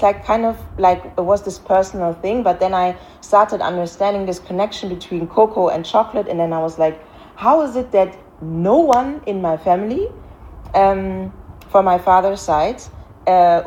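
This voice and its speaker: A woman with a slightly low voice speaks in an interview, feeling her way through each answer. She fills her pauses with soft fillers and often restarts mid-sentence. A faint hiss of noise sits under her voice. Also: lo-fi sound. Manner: hesitant, frequent fillers and restarts. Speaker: interviewee, farm founder.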